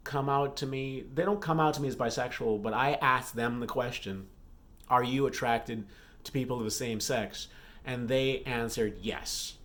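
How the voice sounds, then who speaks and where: Guy author doing a casual vlog update, mid pitch, stop-start chatty talk, repeats stuff for emphasis. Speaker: romance author and vlogger.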